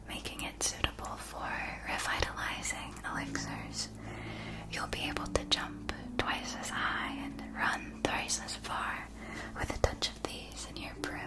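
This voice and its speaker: A woman playing a kindly potion-supply shopkeeper in a scripted fantasy ASMR roleplay, speaking in a soft, breathy whisper with deliberate, gently explanatory delivery. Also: mid-range voice, natural voice. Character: kindly female shopkeeper of magical witch-school potion supplies.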